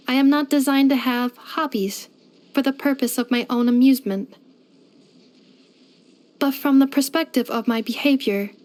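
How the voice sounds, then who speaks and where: A woman's voice: fairly high pitch, natural voice. A woman voicing a polite, devoted household robot companion in a video game, speaking in formal, precise, computer-like phrasing. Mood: polite, matter-of-fact. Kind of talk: scripted video game character voice lines.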